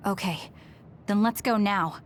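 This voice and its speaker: An actress voicing a calm, direct, resolute young woman fighter in an anime game dub, reserved and matter-of-fact. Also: medium pitch, a little slow, soft background music, lightly acted.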